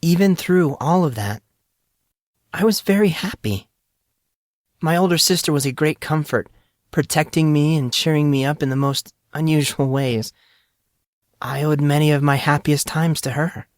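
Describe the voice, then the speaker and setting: Man doing a young woman, shy, sad, heartfelt, higher voice, dry close sound. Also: normal speed, pauses often, no room sound, close to an everyday voice. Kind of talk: audiobook character reading.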